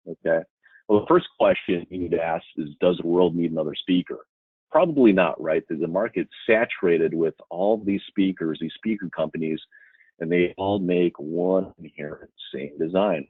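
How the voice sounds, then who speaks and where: A man's voice: low-pitched, slightly rough, dry recording. A man presenting products to dealers in an informal webinar, explaining like a salesman and often checking in with short tags. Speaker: webinar presenter for a speaker brand. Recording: muffled, phone-like webinar audio.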